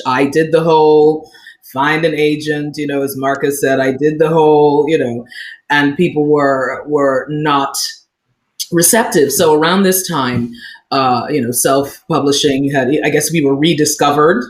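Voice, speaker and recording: A woman who grew up in the Bahamas, speaking on an author panel and telling animated stories, playing out the voices of people she quotes and laughing often. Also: low-pitched, measured pace, reverberant, poor audio. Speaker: author on a book festival panel. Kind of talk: casual panel talk, storytelling.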